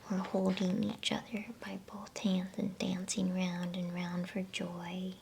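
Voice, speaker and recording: A woman reading a bedtime story as ASMR in a soft, hushed, unhurried whisper. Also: lowish voice, completely flat delivery, creaky, a little hiss or hum.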